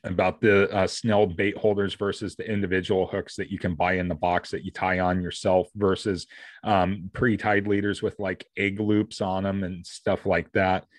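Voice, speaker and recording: A man's voice: deep voice, level tone, moderate pace, dry recording, studio quality. An American man co-hosting a casual podcast, explaining gear in a rambling, detailed way. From US Pacific Northwest. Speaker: fishing podcast co-host. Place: indoor room, on a video call.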